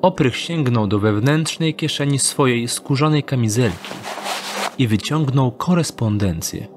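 A man narrating an audio drama in an even storytelling tone. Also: medium pitch, a little slow, noisy background.

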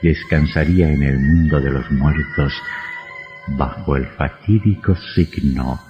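Sinister male horror host, slow deep macabre narration, dull vintage radio sound. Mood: grim, ominous. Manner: slow, ominous, theatrical, with cackling laughs. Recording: dull old radio recording with music.

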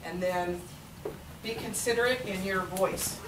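A middle-aged woman with a loud, projecting voice giving an earnest business etiquette seminar, often restarting her words. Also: mid-range voice, unhurried. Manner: earnest, warm advice with stammered restarts. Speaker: workshop presenter. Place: small meeting room with audience. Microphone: distant camera mic. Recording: echoey room from far off, background hum.

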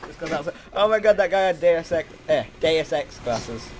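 A young man doing casual, jokey game commentary with a friend, excitable and prone to exclaiming and repeating phrases. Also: on the high side, fairly quick. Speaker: gaming YouTuber. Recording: clear background noise from game.

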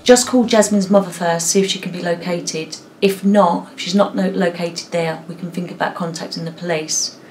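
A woman playing a measured, level-headed senior ward nurse in a scripted drama. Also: fairly low pitch, fairly even intonation, darkish tone, close to an everyday voice, low-quality recording. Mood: reassuring, firm.

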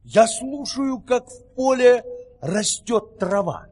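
An actor playing an eccentric, eager fairy-tale helper, speaking emphatically in a theatrical audio-play style. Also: male voice, very high voice, sing-song, slow pace, darkish tone, slightly rough, big-room sound, exaggerated voice. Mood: proud, eager.

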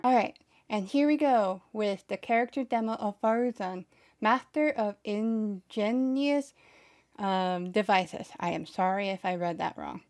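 Slow-paced young woman chatting casually. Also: medium pitch, darkish tone. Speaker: reaction video host. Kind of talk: casual reaction chat. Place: small bedroom streaming setup. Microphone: desk microphone up close.

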